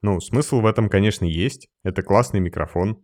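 A man with a low voice talks through microphones as a guest sound engineer. He explains things in a practical way and adds lively emphasis now and then. The recording is dry and close, with no room sound. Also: moderate pace, dry recording. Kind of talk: explaining podcast gear in conversation.

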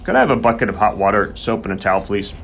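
A man's voice: on the low side, sing-song, very fast, creaky, slight background noise. A man voicing an earnest country vet in an audiobook, polite and firm but uneasy.

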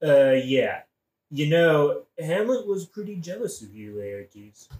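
A man's voice: a little high, sing-song, slow pace, darkish tone, echoey room. A man playing a scheming, casually murderous king in a modern satirical audio play, talking in an evasive, colloquial, wheedling way. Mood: glib, persuasive.

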